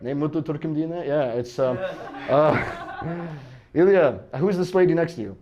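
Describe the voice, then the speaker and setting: An American man doing stand-up crowd work, bantering in a halting, self-interrupting way and often chuckling. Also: a little high, dull audio. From United States. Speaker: stand-up comedian.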